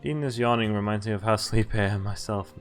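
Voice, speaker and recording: A man's voice: fairly low pitch, quick pace. A man streaming a visual novel, reading its text aloud with casual commentary over game music. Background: game background music.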